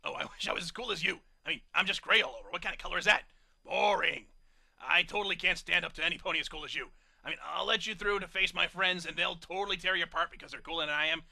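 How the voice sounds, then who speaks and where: A man's voice: sits high, fairly quick, vocal fry, bright, forceful tone, no room sound, heavily acted. A man voicing a huge, grumpy gray male earth pony who bursts into gushing admiration. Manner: blustering then gushing.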